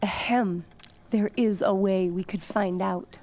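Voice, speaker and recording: An actress playing a soothing, whimsical woman in an audio drama, unhurried and gently teasing. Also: medium pitch, steady tone, a little hiss or hum. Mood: curious, measured.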